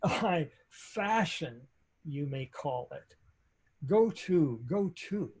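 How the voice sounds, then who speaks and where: A man reading the part of a stern, worldly-wise older father in a Shakespeare play, deliberate and commanding. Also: fairly high pitch, animated, darkish tone, slightly rough, full character voice. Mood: scornful warning.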